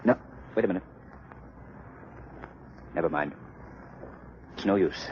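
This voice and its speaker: An actor playing a composed, commanding gentleman sleuth in a 1940s radio crime drama, speaking with crisp, formal diction. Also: low voice, slow. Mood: urgent, commanding. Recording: muffled, noisy old radio recording.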